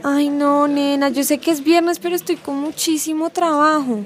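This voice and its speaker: A woman's voice: high-pitched, steady tone, normal speed, natural voice. A woman playing a tired, single Colombian working woman in a radio soap opera. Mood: reluctant. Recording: long reverberant hall-like echo.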